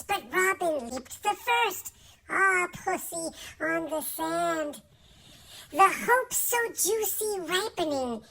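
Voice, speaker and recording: A playful, childlike reciter of children's poems. The voice is very high and bright, rising and falling dramatically. Every phrase is delivered deliberately and theatrically. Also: male voice, gravelly, full character voice, poor audio. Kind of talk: dramatic children's poetry reading.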